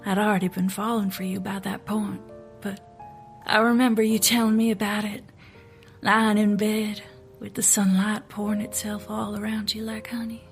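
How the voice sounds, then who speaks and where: An actress playing a weary, skeptical female FBI agent in an audio drama, speaking haltingly and with open feeling. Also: mid-range voice, flat delivery, faint music behind, close to an everyday voice. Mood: tender, wistful.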